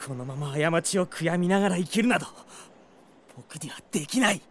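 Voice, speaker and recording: An actor playing an earnest, headstrong young prince in a fantasy audio drama, delivered with dramatic intensity. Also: male voice, fairly high pitch, expressive, a little fast, a little hiss or hum, natural voice. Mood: remorseful, anguished. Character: earnest, headstrong young male prince, anguished for his friends.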